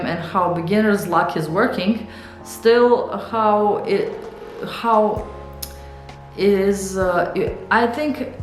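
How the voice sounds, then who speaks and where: A young woman with a Serbian accent, a Serbian native speaker, rambling and thinking out loud in a casual studio vlog, with many fillers and restarts. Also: on the low side, reverberant. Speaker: illustrator and author. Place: home art studio.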